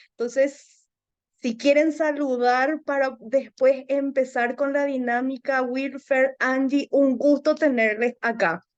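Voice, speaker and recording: A woman hosting a talk show in a relaxed, chatty way. Her voice sits a bit high and she talks slowly, laughing often. The recording sounds dull and lo-fi. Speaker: show host.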